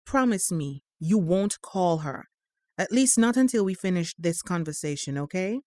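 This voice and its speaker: A woman's voice: on the low side, expressive, moderate pace, warm tone, no echo, natural voice. A woman playing a firm, protective mother of a teenage boy, pointed and motherly, in a naturalistic audio drama. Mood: stern, worried.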